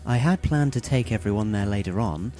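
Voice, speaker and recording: Guy playing a very polite calm schoolboy mc, kinda low voice, formal wording, audio drama. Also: fairly quick, soft background music, lightly acted. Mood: courteous. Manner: formal, courteous. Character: polite, composed teenage schoolboy master of ceremonies.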